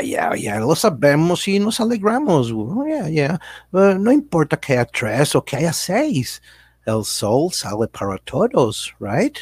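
A man's voice: mid-range voice, husky, no room sound, studio quality, heavily acted. A man playing a slick, evasive American businessman in a satirical radio drama, speaking Spanish with an American accent, hesitant and dropping in English words. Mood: breezy, insistent. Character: slick, evasive American businessman selling his multinational company.

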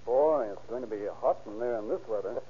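A man's voice: medium pitch, brisk, breathy edge, lightly acted. An actor playing a cocky, joking husband who schemes to fake his death, in an old radio crime drama. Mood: breezy, cocky. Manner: breezy, joking, chatty. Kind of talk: 1940s-style radio crime drama acting. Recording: muffled, noisy old radio recording.

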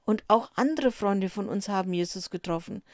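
A woman voicing a frightened woman disciple who passes on secret news in a hushed, urgent, whispery voice. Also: medium pitch, moderate pace, no echo, clean studio sound, lightly acted. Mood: earnest. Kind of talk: storybook character voice.

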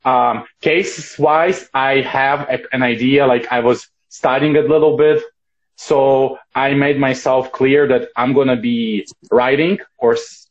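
A man whose first language is Russian, answering interview questions in English, fluent and thoughtful with a few restarts. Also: medium pitch. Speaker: guest. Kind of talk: casual interview. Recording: dull lo-fi sound.

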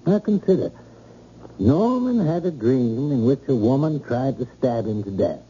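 A man's voice: medium pitch, big pitch swings, normal speed, warm tone, slight background noise, natural voice. A man playing a grave, earnest doctor with emphatic rhetorical phrasing, in old-time radio drama style on a muffled recording.

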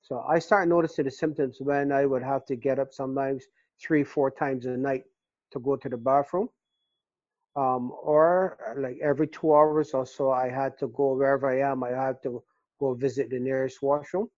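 An older man from Barbados with a Barbadian accent, telling his story in a casual interview, earnest and halting with repeated words. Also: medium pitch, steady tone, darkish tone, dry recording. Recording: dull, dry lo-fi recording.

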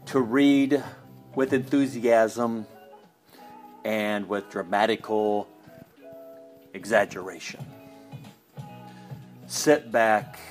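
A man, a drama teacher, giving a scripted podcast introduction in a deliberate, theatrical announcing manner. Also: on the low side. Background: faint guitar music.

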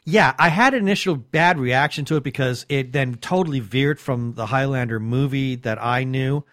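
A man's voice: medium pitch, normal speed, fairly bright tone, dry recording, clean studio sound. A man co-hosting a casual geek podcast, talking emphatically with stammering restarts. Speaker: podcast co-host. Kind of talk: casual chat.

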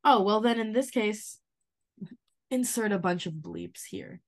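A woman's voice: medium pitch, a little slow. A young woman co-hosting a podcast, playful and joking in casual chat. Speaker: podcast co-host.

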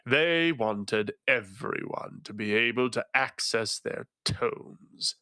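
A man's voice: mid-range voice, expressive, pushed and bright, no echo, clean studio sound. A man voicing a resonant tentacled sage. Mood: grave. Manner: slow, measured, grave. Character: solemn male tentacled illusionist knight.

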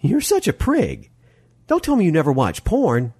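Man voicing a high-pitched, teasing young woman. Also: wildly swooping pitch, normal speed, darkish tone, breathy edge, no room sound, clean studio sound, full character voice. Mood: teasing, breezy. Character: bold, teasing, sharp-tongued young woman.

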